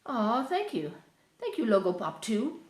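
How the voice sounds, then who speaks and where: Brief: English woman, high voice, unhurried, chatty live-stream host, echoey room. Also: big pitch swings. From England. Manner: chatty, reads out viewers' names and thanks them. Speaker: livestreaming singer-songwriter. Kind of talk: casual livestream chat between songs. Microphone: phone livestream.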